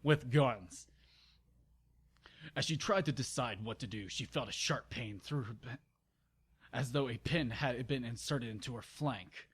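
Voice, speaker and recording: A man's voice: mid-range voice, a little slow, pauses often, slightly rough. A man narrating an amateur dramatic story reading, informal and stumbling, with exasperated, sarcastic asides. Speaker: narrator and channel host.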